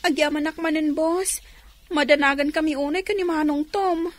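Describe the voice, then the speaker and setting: A woman's voice: high voice, steady tone, moderate pace, no echo, close to an everyday voice. A woman playing an anxious, respectful young employee in a radio drama, urgent and pleading, with an Ilocano accent. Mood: grateful, worried.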